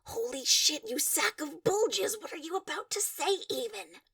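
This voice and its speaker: A woman voicing a loud, exasperated young male troll who rants emphatically in a read-aloud story. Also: high voice, lively intonation, unhurried, no room sound, clean studio sound, far from the mic, voice pushed up, full character voice. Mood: outraged hissing. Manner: ranting, insistent, emphatic.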